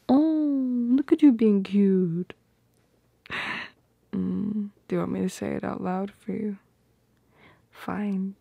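A woman voicing a tender, playful, possessive girlfriend in an ASMR roleplay, speaking softly and intimately close to the microphone in a breathy, often whispered voice. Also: mid-range voice, no echo. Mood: playful, affectionate. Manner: soft, intimate, unhurried, teasing. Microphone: close microphone, dry.

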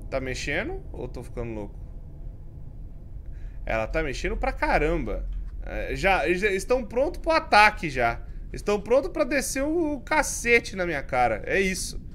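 A Brazilian man in his thirties, a streamer giving jumpy commentary as he plays a horror game, swinging from mumbles to yells. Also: high voice, wildly swooping pitch, moderate pace, slight background noise. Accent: Brazilian Portuguese. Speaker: gaming streamer. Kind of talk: let's play commentary. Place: home streaming room with colored lights.

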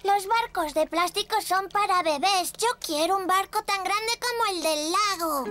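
A woman voicing an eager, impatient four-year-old boy in a Castilian Spanish cartoon, pleading and excitable. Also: extremely high pitch, normal speed, music in the background. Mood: curious, eager. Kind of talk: children's cartoon dub.

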